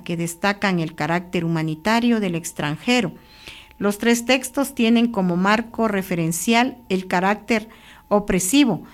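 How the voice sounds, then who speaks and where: A Guatemalan woman speaks from a radio studio booth, hosting a church program. She greets listeners and reads scripture in a steady, unhurried, pastoral manner. Her voice sits at a middle pitch for a woman. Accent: Guatemalan Spanish. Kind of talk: radio talk and scripture reflection.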